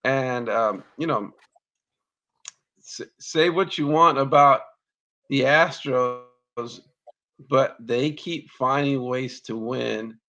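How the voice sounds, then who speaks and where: A man co-hosting a casual baseball talk show, halting and repeating words as he talks. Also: mid-range voice, pushed and bright. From Mexican roots. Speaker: baseball podcast co-host. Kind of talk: casual sports chat.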